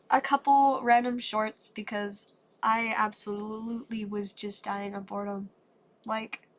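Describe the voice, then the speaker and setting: A woman's voice: high-pitched, fairly bright tone. A woman chatting on a live stream while opening trading cards, a little congested and still getting her voice back, upbeat and rambling. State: congested, voice not fully recovered. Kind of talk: casual live-stream chat.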